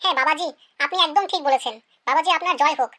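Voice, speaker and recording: Cartoon comedy village woman played by an actress: piercingly high, fast-talking, chatty and wheedling. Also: bright, forceful tone. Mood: pleading.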